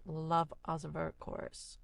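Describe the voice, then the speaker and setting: A woman's voice: deep voice, monotone, measured pace, creaky, no room sound. A woman presenting an audio tutorial in casual, hands-on, explanatory talk.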